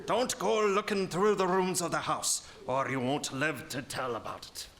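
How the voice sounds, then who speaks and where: An actor playing a huge, menacing troll with a threatening, mock-friendly manner in an audio folktale. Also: male voice, a little high, normal speed, fairly bright tone. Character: huge ugly menacing male troll master. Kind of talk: audio drama folktale acting.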